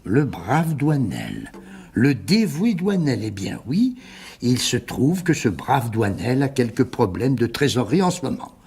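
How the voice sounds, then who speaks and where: A wily middle-aged businessman in a radio mystery play. His voice is husky and creaky, with swooping, theatrical intonation. He is unctuous and condescending, with a cowardly streak. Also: medium pitch, wildly swooping pitch, a little slow, darkish tone, heavily acted. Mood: smug, menacing. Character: wily older businessman, cowardly then smugly blackmailing.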